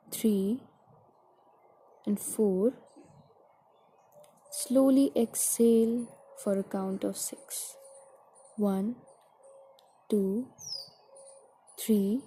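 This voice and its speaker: A woman guiding a meditation in a soothing, gentle, deliberate way, with a soft, breathy voice that trails toward a whisper. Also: medium pitch, low-quality recording. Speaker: meditation guide. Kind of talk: guided meditation narration.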